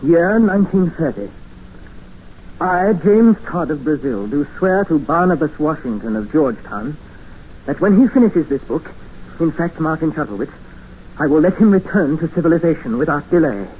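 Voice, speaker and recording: Earnest captive Englishman, higher-pitched voice. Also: very animated, normal speed, darkish tone, a little hiss or hum. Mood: tense, accusing. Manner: earnest, formal, dramatic. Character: desperate, educated male English captive expedition member, narrating. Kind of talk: 1950s radio drama narration and acting. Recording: muffled old radio recording with music.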